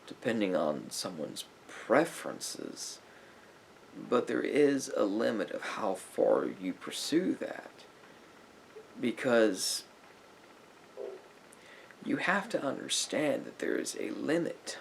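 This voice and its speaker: Man acting as a slow-talking, low-voiced patient therapist. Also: gravelly, slight background noise, exaggerated voice. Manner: measured, hesitant, deliberate questioning with long pauses. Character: calm, patient, probing male therapist talking to a client. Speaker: ASMR roleplay performer. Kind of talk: therapist roleplay ASMR, addressing the listener.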